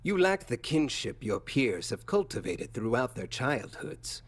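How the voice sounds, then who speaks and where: A man playing an unctuous, quietly threatening school official, speaking formally and theatrically in a video game. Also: fairly low pitch, breathy edge, heavily acted. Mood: veiled threat. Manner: formal, measured, insinuating.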